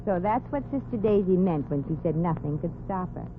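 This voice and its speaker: A woman's voice: lowish voice, lively intonation, a little fast, a little hiss or hum, natural voice. An actress playing a streetwise, wisecracking young showgirl in a 1940s radio comedy. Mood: quick-witted. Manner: brassy, wisecracking. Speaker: lead character in a radio comedy. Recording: old radio broadcast, lo-fi.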